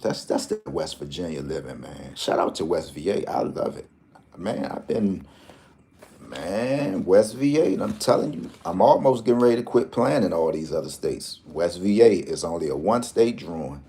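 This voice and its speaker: Low mellow-voiced man rambling with DC slang. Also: normal speed. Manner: animated, joking, slangy, rambling asides. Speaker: lottery tips host. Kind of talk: casual rambling vlog talk.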